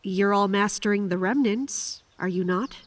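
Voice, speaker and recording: A woman playing a guarded, troubled military captain in an audio drama, speaking and narrating in a restrained, formal, deliberate way. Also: medium pitch, dry recording, natural voice. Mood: composed, probing. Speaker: lead actor and first-person narrator. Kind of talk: audio drama acting with inner monologue.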